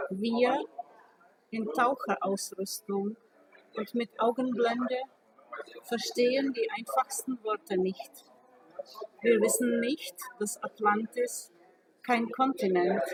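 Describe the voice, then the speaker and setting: A woman reads her poems slowly and deliberately, her voice sitting fairly high. She laughs softly between remarks. A crowd murmurs audibly behind her. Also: poor audio. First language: Czech. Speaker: poet. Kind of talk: poetry reading and interview.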